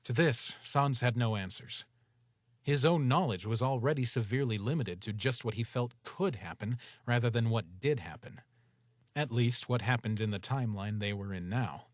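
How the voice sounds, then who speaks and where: A man reading a story aloud in a steady, even storytelling manner. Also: mid-range voice, normal speed, no echo, studio quality. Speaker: narrator. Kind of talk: scripted story narration.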